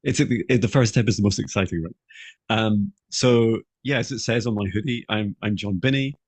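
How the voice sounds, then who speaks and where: A middle-aged man from Scotland introducing himself on a video call, earnest and halting with many fillers and restarts. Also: lowish voice, slightly rough, dry recording.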